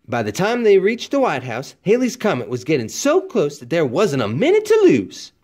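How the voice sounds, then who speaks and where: A man's voice: fairly high pitch, huge pitch swings, moderate pace, darkish tone. A man narrating a children's storybook expressively, with folksy, dramatic emphasis like a teacher reading aloud.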